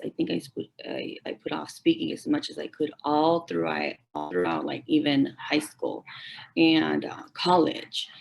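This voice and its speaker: A woman in her forties from Mexico with a deep, heavily gravelly and hoarse voice. She is a native Spanish speaker who teaches Spanish, and she tells her personal story haltingly, restarting and repeating phrases. A faint hiss sits under her voice on a video call. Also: a little mellow, rough recording. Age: 40s. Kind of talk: personal story told at a forum.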